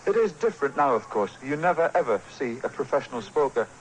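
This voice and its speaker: A man's voice: medium pitch, very animated, quick pace, slight background noise, poor audio. A man reading a scripted sports feature on the radio.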